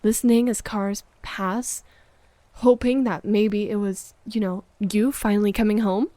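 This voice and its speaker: A woman playing a hurt, needy, accusing girlfriend in an intimate audio roleplay, moving between sharp reproach and hushed murmurs. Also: medium pitch, steady tone, measured pace, dry recording, natural voice. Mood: bitter, hurt. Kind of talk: intimate audio roleplay monologue, dramatic acting.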